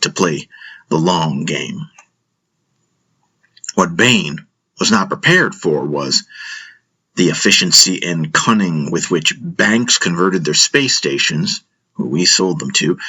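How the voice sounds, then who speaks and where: A man playing a seasoned, cynical intelligence agent who lectures recruits in a wry, measured, musing way in an audio drama. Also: low-pitched, bright, forceful tone, breathy edge, exaggerated voice.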